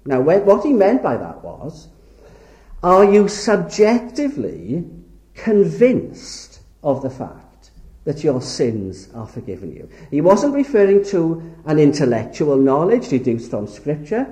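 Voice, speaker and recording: A man's voice: on the high side, huge pitch swings, a little slow, warm tone. Emphatic male preacher in an echoey hall. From Wales. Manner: earnest, emphatic, lingers on key words. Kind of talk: sermon lecture.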